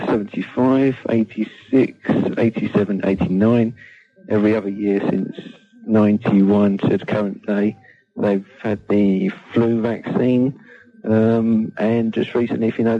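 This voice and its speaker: A man calling a radio show, delivering a serious, halting monologue. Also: lowish voice. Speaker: phone-in caller. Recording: dull lo-fi call-in sound.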